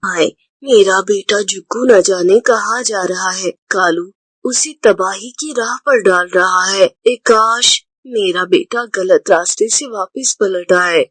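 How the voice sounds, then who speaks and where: A woman voicing a gentle, anxious mother bird in a children's cartoon. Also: mid-range voice, takes it slowly, husky, dry recording. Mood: worried. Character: anxious, gentle mother bird fretting over her son.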